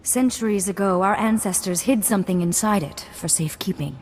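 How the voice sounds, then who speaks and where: A woman's voice: medium pitch, fairly quick, a little mellow, slight background noise, natural voice. A woman playing a proud young noblewoman in a video game, speaking formally and earnestly, with a haughty, scolding edge. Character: proud, prim young noblewoman, earnest heiress of a fallen house.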